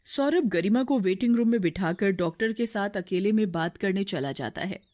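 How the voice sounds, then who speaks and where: A woman's voice: mid-range voice, animated, moderate pace, darkish tone. A woman reading scripted narration as the storyteller of a moral tale.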